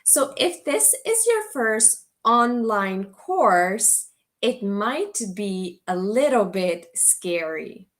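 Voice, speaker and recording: A woman teacher from Guatemala, giving a slow, clear orientation to an online English as a course. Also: mid-range voice, very animated. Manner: slow, deliberate delivery for language learners.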